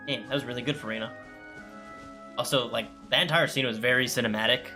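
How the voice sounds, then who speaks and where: A man's voice: mid-range voice, steady tone, fast talker, over music. A man giving casual let's play commentary as a gaming streamer.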